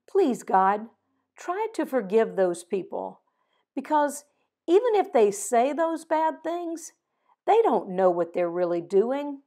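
An older woman reading a picture book aloud and closing with a prayer, gently voicing the dialogue, recorded over a video call at home. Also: fairly high pitch, big pitch swings. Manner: slow, gentle storytelling with voiced dialogue. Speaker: storybook reader. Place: home living room with fireplace.

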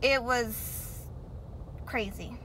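A young American woman in her twenties chatting casually as a vlogger, recorded on a dashboard camera while driving. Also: extremely slow. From Tucson, Arizona, USA. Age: 20s. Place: inside a car while driving. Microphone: camera mounted on dashboard. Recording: noisy car interior with road rumble. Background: loud road and car noise.

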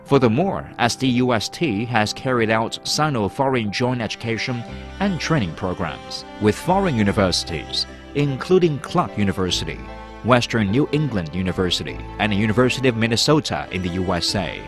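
A man's voice: fairly low pitch, sing-song. Formal male voice-over over music. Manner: formal, measured. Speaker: narrator. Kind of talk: scripted promotional narration. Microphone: studio voice-over.

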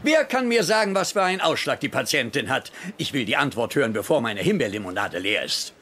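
An actor playing a sardonic, domineering senior doctor with a theatrical, mocking manner in a German-dubbed TV comedy. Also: male voice, mid-range voice, sing-song, brisk, sharp tone, a little hiss or hum.

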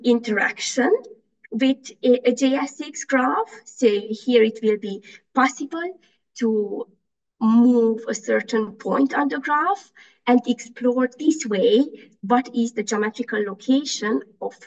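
Woman presenter, slightly high, gravelly, halting with fillers, over a video call. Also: recorded from a distance, dull audio. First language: not German. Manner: hesitant, many fillers and restarts. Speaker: conference presenter. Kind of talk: conference talk over slides.

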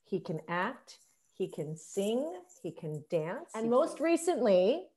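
A woman's voice: mid-range voice, sing-song, slow, mellow. A woman hosting a casual, playful interview over a video call through an earphone mic. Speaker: interview host. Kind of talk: casual chat show interview. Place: small plain room indoors, video call. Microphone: wired earphone mic.

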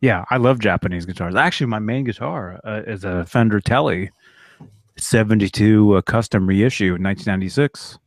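A man in his thirties, a guest talking in relaxed, halting bursts on a studio mic with headphones over a video call. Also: fairly low pitch, dry recording. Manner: relaxed, halting, repeats words. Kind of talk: casual chat.

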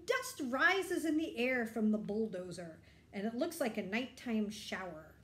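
A middle-aged woman reading a picture book aloud to children, explaining and asking simple questions in an animated way. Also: a little high, a little slow, roomy sound. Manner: animated, explanatory, addressing young listeners. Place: bright indoor room.

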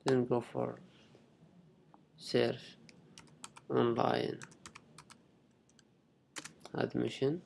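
A young man from Saudi Arabia with a Saudi Arabic accent, a native Arabic speaker, giving a halting, unscripted step-by-step screen tutorial in simple phrases. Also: medium pitch, flat delivery, gravelly, darkish tone, slight background noise. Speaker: international student giving a tutorial. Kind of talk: unscripted screen-recording walkthrough.

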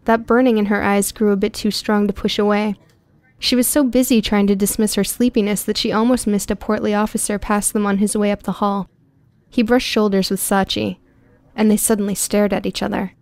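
A woman's voice: medium pitch, steady tone, brisk, a little mellow, no echo. Woman narrating an audiobook, dry close. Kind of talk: scripted narration.

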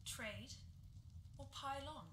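Woman acting snarky assassin girl from a game, bright voice, echoey and kinda noisy. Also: high-pitched, takes it slowly. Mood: scornful. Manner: curt, dismissive. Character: sardonic female assassin follower, curt and defiant. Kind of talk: video game character voice lines.

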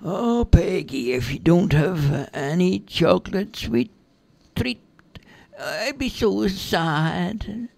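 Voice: medium pitch, animated, slow pace, vocal fry, darkish tone, no echo, voice pushed up, full character voice. A storyteller voicing a small, grumpy captured fairy, greedy for chocolate, in a reluctant, whining manner. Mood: pleading.

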